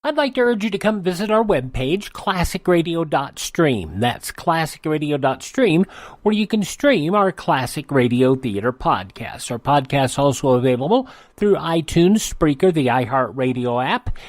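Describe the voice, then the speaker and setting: A man's voice: fairly high pitch, expressive, normal speed, dry recording. A man hosting a radio show, announcing and chatting directly to listeners.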